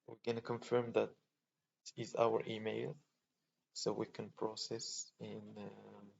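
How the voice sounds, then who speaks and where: A man from Poland hosting a casual screen-recorded tutorial, thinking aloud in a halting way as he clicks through steps. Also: lowish voice, level tone, creaky, darkish tone. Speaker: tutorial host. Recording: dull lo-fi mic with faint noise.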